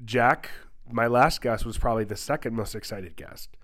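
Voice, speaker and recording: A man's voice: mid-range voice, animated, moderate pace, fairly bright tone. A young man from the United States hosting a casual podcast, stuffy-nosed from a cold and rambling through restarts. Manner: rambling, restarts sentences often. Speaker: podcast host.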